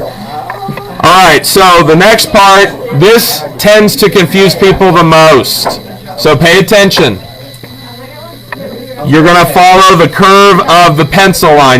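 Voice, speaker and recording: A man's voice: on the high side, sharp tone, poor audio. A man teaching a hands-on drawing lesson step by step, in an instructional manner with short check-in questions. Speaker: art teacher. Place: classroom with students. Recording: classroom chatter around the voice.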